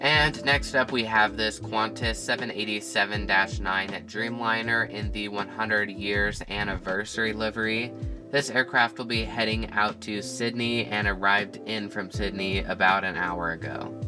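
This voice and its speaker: A man's voice: fairly low pitch, steady tone, unhurried. A man who is feeling a bit sick, enthusiastically narrating a walkthrough of his model airport and listing each aircraft. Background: guitar music.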